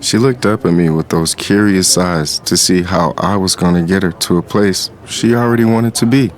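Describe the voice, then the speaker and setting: Actor as a low-voiced cocky streetwise man. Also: moderate pace, close to an everyday voice. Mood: sly, flirtatious. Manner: confident, sly, casual. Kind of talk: first-person dramatized story narration.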